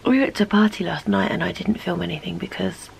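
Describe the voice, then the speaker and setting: A low-pitched woman speaks in a soft half-whisper from her bed, her voice heavily gravelly with vocal fry. She sounds stuffy and a little hoarse from a cold. A faint hiss sits under the close camera mic. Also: fast talker. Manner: half-whispered, sleepy morning talk from bed. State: stuffy, sore throat. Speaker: vlogger. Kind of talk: casual chat. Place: bedroom, lying in bed. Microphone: camera close by on the pillow.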